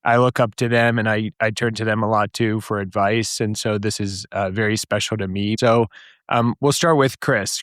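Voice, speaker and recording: A man's voice: lowish voice, a bit bright, no echo, clean studio sound. A man hosting a podcast, talking casually as he introduces and questions his guests. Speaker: podcast host. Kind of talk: casual interview talk.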